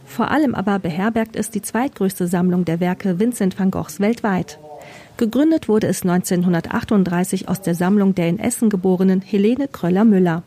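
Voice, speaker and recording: A woman's voice: mid-range voice, dark tone. A woman working as a radio reporter, reading scripted narration.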